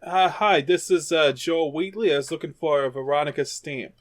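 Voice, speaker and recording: A man playing an informal, slightly rough-edged investigator's partner who speaks in a halting, hesitant way. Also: fairly high pitch, no echo, close to an everyday voice. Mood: tentative, friendly.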